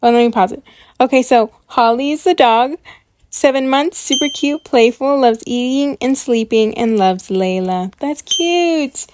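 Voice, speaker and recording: High-voiced bubbly woman, gushing. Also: a little slow, a little mellow, a little hiss or hum. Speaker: YouTube host reviewing channels. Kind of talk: casual reaction commentary.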